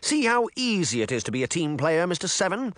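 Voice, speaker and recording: A man's voice: high-pitched, very animated, a little fast, a bit bright, no echo, studio quality, pitched above the performer's own voice. A man voicing a pompous, sneering male mayor who bullies everyone around him, with a raised, condescending voice.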